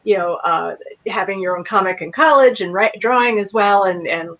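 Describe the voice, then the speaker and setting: A woman's voice: medium pitch, normal speed, a bit bright. A woman talking casually as a guest, in a conversational and unscripted way. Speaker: voice cast guest. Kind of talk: casual chat. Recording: slightly dull, lo-fi connection.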